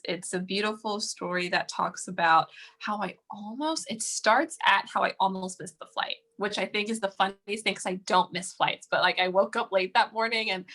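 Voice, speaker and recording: A young adult woman from the United States chatting as an interview guest over a video call, animated and warm, with many fillers and restarts. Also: medium pitch. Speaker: guest, professional dancer. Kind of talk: casual interview chat.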